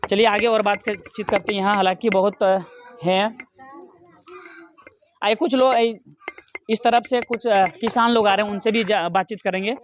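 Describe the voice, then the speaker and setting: High-voiced Jharkhand man interviewing, noisy background. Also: fairly even intonation, normal speed, pauses often, muffled recording. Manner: leading, prompting questions. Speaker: field reporter for a local news channel. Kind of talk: street interview with villagers.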